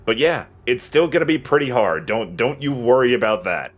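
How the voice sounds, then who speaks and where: A man's voice: medium pitch, expressive, normal speed. A man giving casual, joking and sometimes profane game commentary as the host of a let's play.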